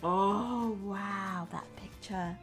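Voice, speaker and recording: Female storyteller, primary-teacher style: unhurried, expressive read-aloud with simple asides for little kids, soft music underneath. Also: medium pitch. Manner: expressive, addresses young children simply.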